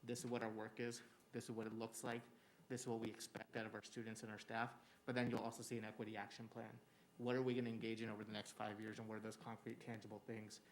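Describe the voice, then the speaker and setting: A man speaking to a school board at a quick clip, his fairly low voice holding a narrow, even line. He talks earnestly and steadily, with frequent "uh" fillers, like an administrator giving a formal report in a meeting room. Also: slight background noise.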